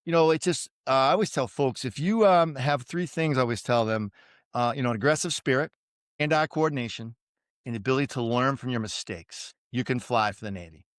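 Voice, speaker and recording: Boston man in his fifties, smooth and dry-sounding, relaxed storyteller full of ums and asides. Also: medium pitch, a little fast, dry recording. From suburban Boston, USA. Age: 50s. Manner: easygoing, self-deprecating, many fillers. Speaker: podcast guest, retired Navy pilot. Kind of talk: casual interview storytelling.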